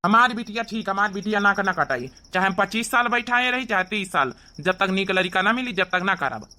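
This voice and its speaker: A woman voicing a bright-voiced, doting Awadhi village father. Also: medium pitch, expressive, a little fast. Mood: stubborn, protective. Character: doting, stubborn village father protective of his daughter. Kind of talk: cartoon comedy dialogue.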